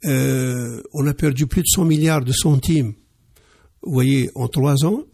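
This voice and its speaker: Male radio guest, husky and gravelly, hesitant but emphatic. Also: medium pitch, measured pace, warm tone. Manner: hesitant, repeats words, emphatic. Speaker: interview guest, car dealer. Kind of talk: radio interview.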